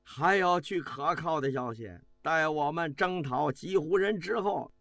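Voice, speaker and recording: Male storyteller doing a passionate officer haranguing the ranks; high, animated, dry. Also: unhurried, creaky, dry recording. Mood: impassioned. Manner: fiery, pleading, shouting rhetoric. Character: impassioned male army officer rousing troops.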